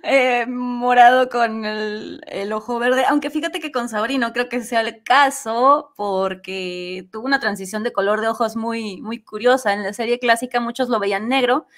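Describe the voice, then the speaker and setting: Chatty woman, slightly high voice, Latin American accent. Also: steady tone, moderate pace, few pauses, fairly bright tone. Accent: Latin American Spanish. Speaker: guest, amateur voice actress. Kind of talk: casual interview chat.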